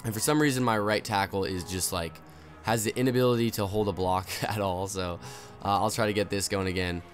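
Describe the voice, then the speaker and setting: A young man with a hoarse voice from illness, hosting a gaming video and explaining plays casually with plenty of ums and uhs. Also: fairly low pitch, brisk, soft background music. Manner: relaxed, explanatory, many fillers. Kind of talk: casual gameplay tutorial commentary.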